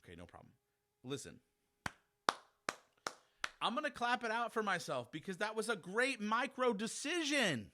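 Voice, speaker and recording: A man's voice: high voice, very animated, normal speed, long pauses, noisy background. A man, hosting a casual solo podcast and talking straight to his listeners in a chatty, self-deprecating way. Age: 40s. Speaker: podcast host.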